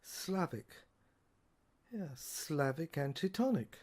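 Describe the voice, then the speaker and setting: A woman playing a rambling, sardonic lab technician who lectures haltingly in an audio drama, repeating and correcting herself and asking rhetorical questions. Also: low voice, very animated, darkish tone, heavily acted. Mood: musing, halting. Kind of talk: improvised-sounding lecture monologue in an audio drama.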